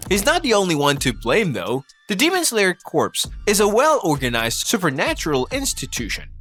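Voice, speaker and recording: Animated, higher-pitched man narrating a video essay. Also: normal speed. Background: background music.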